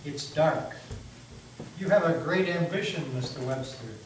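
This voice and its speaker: Male reader as the devil, grim smooth-talking stranger for a stage reading, slightly high, ominous, in an echoey hall. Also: unhurried, lightly acted. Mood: grimly ominous. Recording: echoey hall, distant mic, faint noise.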